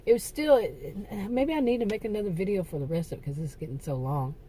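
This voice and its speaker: A middle-aged woman talking in a rambling, halting personal vlog, correcting herself often, her voice getting worn. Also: mid-range voice, dark tone, a little hiss or hum. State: losing her voice. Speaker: vlogger. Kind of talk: casual personal vlog monologue.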